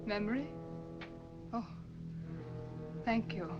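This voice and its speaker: An actress playing a cool, scheming criminal mastermind in 1940s film style, silky and condescending. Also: female voice, fairly high pitch, slow. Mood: mocking. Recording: dull lo-fi 1940s film soundtrack with music.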